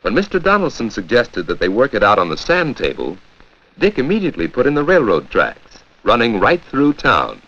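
An American man narrating a 1940s educational film from a script, with a clear, measured storytelling delivery. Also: mid-range voice, huge pitch swings, fairly bright tone. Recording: dull, lo-fi 1940s 16mm film soundtrack.

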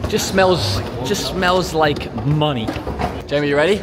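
Young man vlogging loudly through an airport. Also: fairly high pitch, a little slow, background noise. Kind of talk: casual vlog chat. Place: airport terminal and plane.

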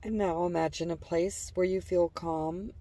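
A woman narrating a guided imagery relaxation in a calm, gentle, soothing manner, letting phrase endings trail softly. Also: fairly low pitch, unhurried, recorded from a distance.

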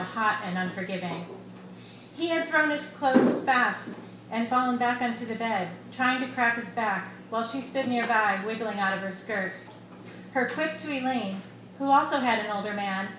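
A middle-aged woman, an author reading her short story aloud into a stand microphone at a live literary reading. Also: fairly high pitch. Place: small bar room, live reading. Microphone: microphone on a stand, close. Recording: echoey room, clear background noise.

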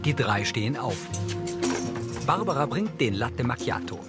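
A man's voice: medium pitch, very animated, measured pace. Male narrator describing scenes over music. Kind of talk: scripted narration, present-tense scene description.

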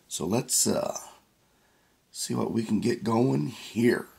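A man hosting his own scratch-off ticket channel, hoarse and raspy after losing his voice, talking in a slow, plain way and reading numbers out one by one. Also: mid-range voice, a little mellow. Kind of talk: casual vlog narration.

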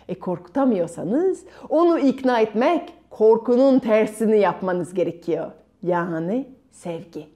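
A woman storyteller voicing a grave, wise old bearded man who gives solemn advice in deliberate phrases. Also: medium pitch, dark tone, natural voice.

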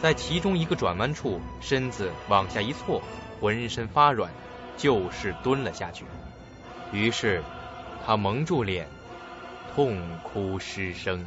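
Low-voiced male drama narrator. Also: lively intonation, unhurried. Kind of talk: dramatic scripted story narration. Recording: muffled dull recording with loud music.

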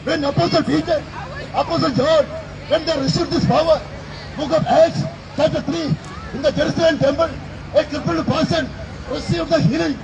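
A man's voice: extremely high pitch, steady tone, moderate pace. A middle-aged man preaching an emphatic open-air street sermon into a handheld microphone outdoors in a city plaza, repeating his declarations. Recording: crowd and city noise outdoors.

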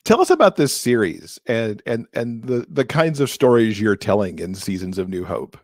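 A man's voice: lowish voice, big pitch swings, normal speed, dry recording. A middle-aged American man hosting a conversational author interview, speaking into a close microphone. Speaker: podcast co-host interviewing an author. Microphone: large close microphone, video call.

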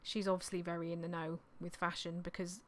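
A woman in her thirties, slightly hoarse from losing her voice, chatting in a rambling, apologetic way on a vlog, recorded in a small indoor craft room on a desktop mic. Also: lowish voice, level tone, brisk. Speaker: clothing reseller vlogger. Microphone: desktop condenser mic on an arm.

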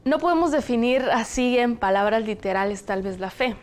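A young woman from Zacatecas with a Mexican Spanish accent, hosting a studio discussion on a lapel mic in a TV studio, speaking in a measured, explanatory way. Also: a little high, lively intonation. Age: young adult. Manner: measured, explanatory, reads passages aloud. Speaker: host. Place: television studio, indoors.

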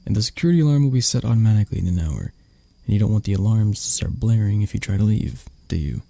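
Man playing grumpy proud male CEO, ASMR roleplay, husky breathy voice, very close dry sound, sardonic, secretly vulnerable. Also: lowish voice, expressive, fast talker, warm tone, dry recording. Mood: exasperated, grudging concern. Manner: curt, sardonic, stammering when flustered. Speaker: voice actor. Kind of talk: ASMR roleplay audio drama.

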